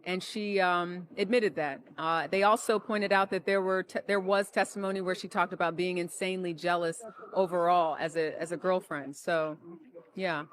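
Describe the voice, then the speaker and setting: Female court correspondent, lowish voice, unscripted live news explanation. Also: steady tone, normal speed, slight background noise. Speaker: court reporter.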